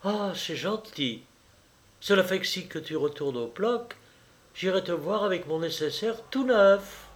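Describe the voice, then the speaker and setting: A man reading aloud and voicing a capricious, tearful young woman who coaxes her lover for money, in a slow storybook reading. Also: high voice, expressive, voice pushed up. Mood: wheedling. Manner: pleading, wheedling, sobbing.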